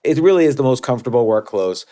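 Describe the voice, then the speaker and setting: A man reads a sponsor spot as a podcast host. His pitch is middling, and he delivers the copy casually, as personal talk. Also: lively intonation, fast, warm tone. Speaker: host reading a sponsor message.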